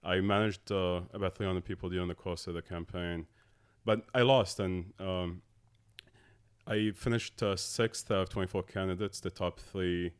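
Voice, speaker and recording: A man in his thirties from Abu Dhabi, a tech co-founder talking as a podcast guest in a halting way with many filler sounds. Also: sits low, steady tone, smooth. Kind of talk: casual interview talk.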